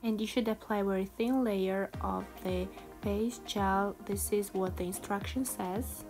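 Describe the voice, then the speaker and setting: A woman's voice: mid-range voice, fairly even intonation, a little slow. A woman giving a casual, chatty tutorial voice-over in a self-deprecating way, like a beauty vlogger. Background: background music.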